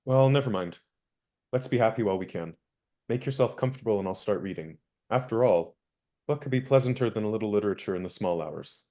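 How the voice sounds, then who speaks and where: A man playing a wry, gallant young gentleman. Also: fairly low pitch, animated, fairly quick, close to an everyday voice. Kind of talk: radio play acting.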